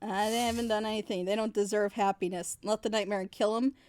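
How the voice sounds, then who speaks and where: A woman's voice: mid-range voice, fairly even intonation, brisk, darkish tone, no echo. A woman giving a casual, opinionated episode critique in a blunt, exasperated and sarcastic way. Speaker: reviewer in a group reaction show.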